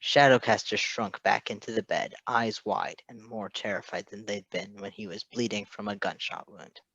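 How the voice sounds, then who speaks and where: A man reading a story aloud in an expressive scripted narration style. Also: fairly low pitch, normal speed, no echo. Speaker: podfic reader.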